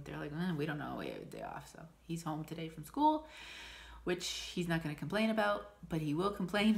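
Woman, 40s, low voice, stuffy nose, rambling home vlog chat. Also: creaky. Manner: chatty, rambling, frequent ums and laughs. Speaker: stitching vlogger. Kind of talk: casual chat to camera. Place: small room at home, indoors. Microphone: camera in front at desk distance.

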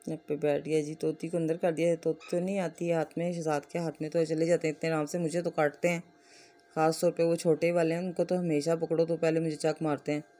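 Woman, Pakistani accent, even unvarying intonation, casual home vlog narration, chatty and explanatory. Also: low-pitched, fast talker. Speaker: family vlogger narrating her day.

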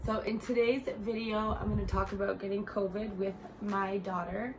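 Woman in her thirties, congested and stuffy, rambling casual vlog to phone in a living room; plain mid-pitched voice. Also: little pitch movement, normal speed, background noise. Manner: rambling, many fillers. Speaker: vlogger. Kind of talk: casual chat to camera. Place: bright living room, on a sofa.

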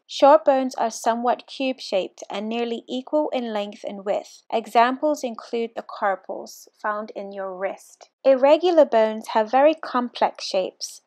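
Woman, fitness instructor, scripted slide narration, clear, steady, fairly high voice. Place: voice-over, not the pictured slides.

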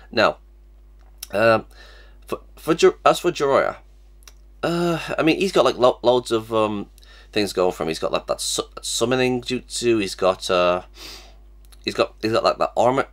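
Young man, low voice, sniffly cold, stammering, restarts, casual vlog, webcam in small room. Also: a bit bright. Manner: stammering, many restarts and fillers. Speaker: reaction channel host. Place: small cluttered room indoors.

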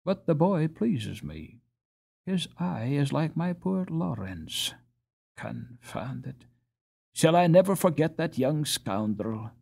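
A man's voice: on the high side, big pitch swings, slow pace, gravelly, a little mellow, breathy edge, pitched above the performer's own voice, full character voice. A man voicing a brusque, brooding doctor in a storybook, terse and given to muttering. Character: brusque, brooding male doctor, secretly kind.

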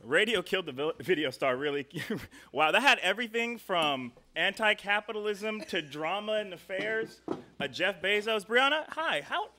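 A man's voice: high-pitched, big pitch swings, normal speed, bright, forceful tone, a little hiss or hum. A young man hosting a live show in a chatty, rambling, jokey style into a close microphone. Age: young adult. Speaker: host. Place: indoor studio stage. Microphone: close stand microphone.

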